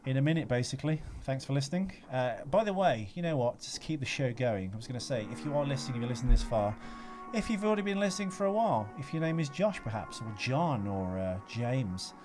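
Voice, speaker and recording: A man's voice: mid-range voice, very animated, fast talker. A man hosting a podcast in a casual, rambling, jokey way. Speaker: podcast host. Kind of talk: casual banter and rambling sign-off on a film podcast. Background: music playing behind the voice.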